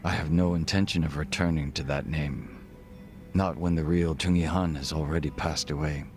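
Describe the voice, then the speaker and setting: Deep-voiced, refined gangster. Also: male voice, fairly even intonation, darkish tone, faint music behind. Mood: grave, reflective. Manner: formal, polite, measured, eloquent phrasing. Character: refined, reserved Korean gangster, a former body double. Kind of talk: video game cutscene acting.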